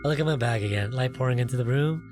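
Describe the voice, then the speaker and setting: Expressive game narrator reading aloud over music. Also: mid-range voice, quick pace. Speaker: gaming video host reading a visual novel aloud.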